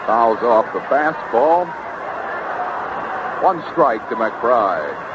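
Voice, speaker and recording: A man's voice: mid-range voice, very animated, very slow, highs cut off. A man calling live baseball play-by-play as a radio announcer, on an old 1970s broadcast recording. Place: stadium broadcast booth. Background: loud ballpark ambience and noise.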